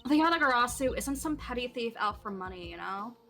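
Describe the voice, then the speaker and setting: A teasing young lawyer, as a woman plays her who giggles through her jabs. The tone is bright and lively and a bit high, over faint music. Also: a little fast.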